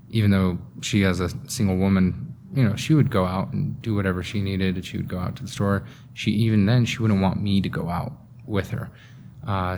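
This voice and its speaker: A young man from Afghanistan giving a personal account in a documentary interview. He speaks slowly and haltingly, with pauses and restarts. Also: sits low, a little mellow, slight background noise.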